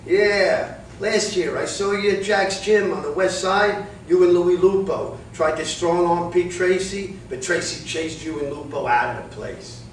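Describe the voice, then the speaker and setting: A man's voice: high voice, a little slow, hardly any pauses. A man playing a protective, combative boxing manager in a staged play reading. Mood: confrontational. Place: indoor room, live staged reading. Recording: echoey room, distant mic, clear noise.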